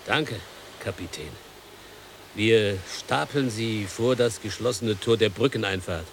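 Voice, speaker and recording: A man's voice: on the low side, unhurried, creaky, slight background noise. An actor playing a dutiful, plainspoken coachman in a radio play, polite and explanatory. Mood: urgent, polite. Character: dutiful coachman, long-serving island servant, plainspoken. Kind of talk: 1990s German radio play acting.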